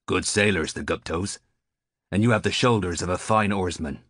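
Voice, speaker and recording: A man's voice: sits low, normal speed, fairly bright tone, dry recording, studio quality. A man voicing a superstitious old male sailor on a harbour beach, stiff and scornful in manner. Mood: gruff.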